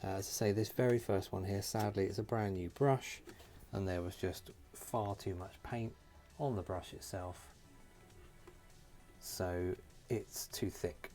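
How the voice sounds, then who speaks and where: A man hosting a hands-on tutorial, talking casually and thinking aloud in an unhurried way with pauses. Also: fairly low pitch, fairly even intonation, dark tone, soft background music. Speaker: host of a model painting tutorial. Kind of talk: casual talk-through while painting.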